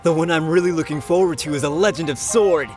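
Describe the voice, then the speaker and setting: A man's voice: a little high, quick pace, over music, close to an everyday voice. A man voicing an eager book fan, a minor townsperson in a video game. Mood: eager, admiring. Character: eager male festival-going novel fan.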